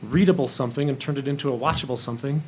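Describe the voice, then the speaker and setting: A man's voice: mid-range voice, racing, darkish tone, soft background music. A man speaking candidly as a film panelist in a roundtable discussion, acting out bits of remembered conversation.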